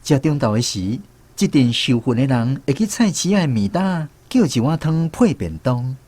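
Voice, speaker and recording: A man's voice: mid-range voice, very animated, moderate pace, a little mellow, breathy edge, no room sound. A man narrating a radio drama in the first person, reminiscing like a storyteller with a Taiwanese accent.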